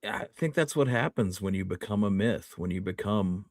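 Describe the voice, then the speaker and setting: A man with an American accent and a fairly low voice chats as a podcast co-host. He is opinionated and colloquial. The recording is dry. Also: animated, brisk, dry recording. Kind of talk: casual history chat.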